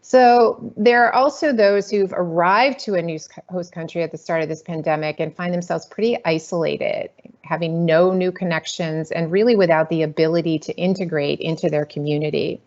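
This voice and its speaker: A woman moderating a webinar panel discussion, measured as she asks her questions. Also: lowish voice, muffled recording.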